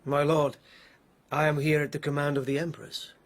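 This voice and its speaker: A man's voice: a little high, little pitch movement, moderate pace, lightly acted. An actor playing a dutiful, exasperated palace policeman in a radio drama, terse, formal and guarded.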